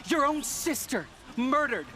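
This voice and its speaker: An actor playing a fiery street agitator, declaiming accusations in a video game. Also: male voice, very high voice, sing-song, a little slow, exaggerated voice. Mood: righteous anger. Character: fiery vengeful street agitator rousing a crowd. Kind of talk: video game cutscene oratory. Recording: loud ambient noise.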